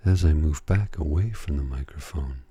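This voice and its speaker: A middle-aged man, a little hoarse, telling a rambling story in slow, soft-spoken ASMR style. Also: rumbling low, no echo. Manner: slow, reflective, rambling with fillers. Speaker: ASMR creator. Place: home at night. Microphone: near-field microphones, close.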